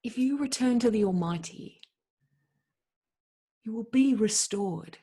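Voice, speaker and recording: A woman playing a solemn, pious counselor who exhorts with deliberate, emphatic phrasing in a dramatic scripture reading. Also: on the high side, expressive, mellow, breathy edge, heavily acted. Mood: earnest exhortation.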